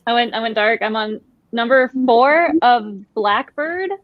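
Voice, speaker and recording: A fairly high-voiced woman chats casually and frankly, dropping quoted asides into her storytelling. The sound is dry and slightly dull, like lo-fi call audio. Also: lively intonation, measured pace, dry recording. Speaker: co-host of a book readalong livestream. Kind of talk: casual chat.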